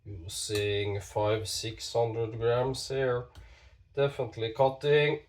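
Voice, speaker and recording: A man with a stuffy nose from a cold, talking slowly while demonstrating knife sharpening, with halting pauses and self-corrections. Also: medium pitch, little pitch movement, far from the mic. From Norway. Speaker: knife sharpening channel host. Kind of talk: casual hands-on demonstration.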